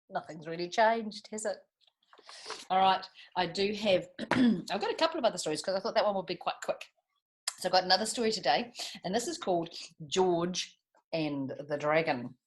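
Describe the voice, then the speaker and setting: A woman reading picture books aloud to young children in an expressive way, with chatty asides and little tag questions. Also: on the low side, husky, low-quality recording. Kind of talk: animated picture-book read-aloud with asides to young listeners.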